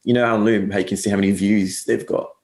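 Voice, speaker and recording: A young man whose accent drifts between English and Australian, talking in a low, gravelly voice. He rambles and corrects himself often, with plenty of fillers, in a casual interview chat. The sound is echoey, like a room on a video call. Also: level tone, fast, darkish tone. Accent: mixed English and Australian. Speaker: guest agency owner.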